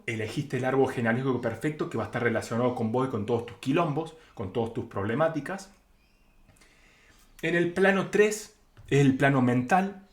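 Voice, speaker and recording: An Argentine man gives an informal spiritual lecture in a mid-range voice. He explains conversationally, slipping into first-person examples as he goes. The recording has a clearly roomy echo around his voice. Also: mid-range voice. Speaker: spiritual teacher. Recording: roomy, echoing sound.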